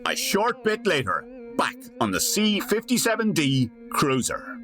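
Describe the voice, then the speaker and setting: A man's voice: high voice, huge pitch swings, measured pace, sharp tone. A man giving scripted comic narration for a radio drama parody, wry and theatrical. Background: music.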